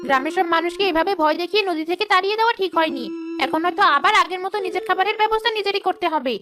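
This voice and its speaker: Woman voicing a very high-pitched greedy river witch-ghost. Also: racing. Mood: smug, musing. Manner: scheming, talking to herself. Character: greedy, sly female river witch-ghost of Bengali folklore. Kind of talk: animated folk tale character acting.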